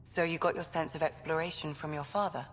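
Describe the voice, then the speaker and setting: An actress playing a calm, caring woman confidante in a video game, gentle and supportive. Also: low voice, a little fast, natural voice. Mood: gentle, probing. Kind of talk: video game cutscene acting. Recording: reverberant hall, faint background noise.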